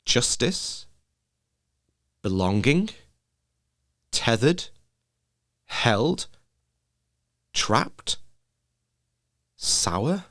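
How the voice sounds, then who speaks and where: A man narrating a literary ghost story in a measured, hushed manner of short phrases. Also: medium pitch, dry recording, clean studio sound.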